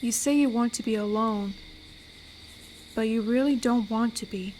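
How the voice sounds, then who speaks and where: A woman voicing an amiable, protective, lonely female werewolf in an intimate audio roleplay, speaking soothingly and reflectively with pauses. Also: fairly high pitch, darkish tone, slight background noise, natural voice. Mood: gentle, guarded. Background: night forest ambience with crickets.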